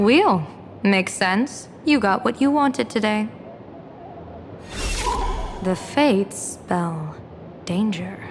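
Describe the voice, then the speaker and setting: A woman playing an enigmatic, playful seer strategist in a video game, speaking in a measured, musing, theatrical way with pauses. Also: mid-range voice, background noise. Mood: musing, teasing. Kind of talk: English video game voice acting. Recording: music under the voice.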